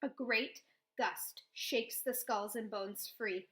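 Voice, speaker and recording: A woman reading a children's novel aloud, with expressive first-person storytelling. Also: a little high, takes it slowly. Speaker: read-aloud host.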